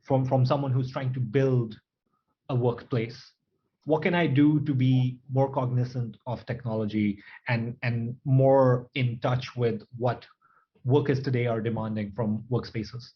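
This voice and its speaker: Male panel moderator, casual questioner, mid-pitched, slightly muffled call line. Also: steady tone, measured pace. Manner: conversational, poses questions from a client's view. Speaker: webinar moderator.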